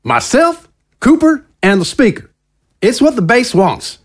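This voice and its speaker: An actor playing a slick, glad-handing conservative politician in an audio drama, with a folksy, smooth insider's manner. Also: male voice, extremely high pitch, wildly swooping pitch, unhurried, exaggerated voice. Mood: persuasive, insistent.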